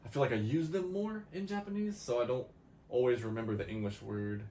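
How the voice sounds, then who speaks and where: A man's voice: mid-range voice, a little fast, warm tone. A young man co-hosting a podcast, easygoing and agreeable in casual chat. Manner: easygoing, agreeable, laughs often. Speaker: podcast co-host. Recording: roomy echo, faint ambient noise.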